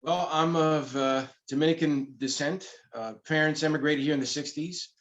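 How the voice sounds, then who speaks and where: A man's voice: on the high side, moderate pace, fairly bright tone, dull audio. A middle-aged man from the Bronx whose first language is Spanish, telling stories in a casual interview with laughs as he goes. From the Bronx, New York. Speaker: comic book publisher, guest.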